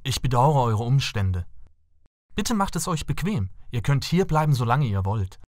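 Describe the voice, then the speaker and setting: A man plays a young fairy tale prince for a cartoon. He is earnest and courteous, with formal speech and very animated intonation. The recording is dry. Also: medium pitch, moderate pace, no echo, faint music behind, close to an everyday voice.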